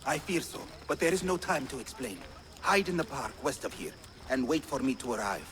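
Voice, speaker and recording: Grave assassin. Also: male voice, medium pitch, big pitch swings, a little fast, a bit bright, slight background noise. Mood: grave, urgent. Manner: grave, formal. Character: grave Turkish assassin ally, troubled and urgent. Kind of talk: video game cutscene acting.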